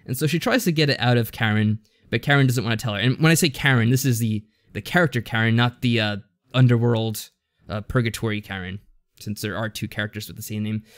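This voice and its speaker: Guy on a podcast, medium pitch, dry sound, casually rambling through a story recap, keeps correcting himself. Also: fast talker, a bit bright, no room sound. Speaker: podcast co-host. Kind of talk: casual chat recapping a visual novel story.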